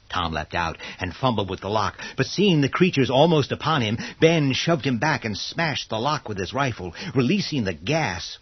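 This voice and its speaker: Casting: male horror narrator, raspy lowish voice, scripted storytelling, close dry sound. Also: no echo, dull audio.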